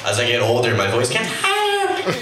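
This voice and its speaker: A young man with a very low voice, doing a rambling, crude, self-mocking stand-up comedy set in a comedy club. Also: wildly swooping pitch, normal speed, a crowd in the background. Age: young adult. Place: comedy club stage with audience. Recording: echoey, distant, noisy club recording. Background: audience laughter.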